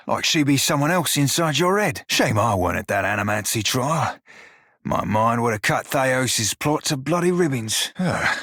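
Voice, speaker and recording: An actor playing a roguish, bawdy, furry blue pirate in a video game, drawling salty sailor slang with a teasing, wry manner and a rough-edged voice. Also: male voice, mid-range voice, a bit bright, dry recording, clean studio sound, full character voice. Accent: stylised pirate dialect. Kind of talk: video game character dialogue.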